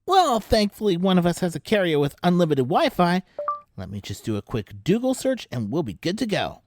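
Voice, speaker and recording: A woman playing an excitable, determined teenager in an audio drama. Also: on the low side, fairly quick, no echo. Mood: wry. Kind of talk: fan audio drama acting.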